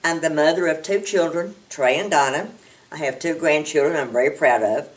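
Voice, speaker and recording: A woman's voice: sits low, moderate pace, far from the mic, rough recording. A woman, an executive director, introducing herself in a composed, mildly humorous way.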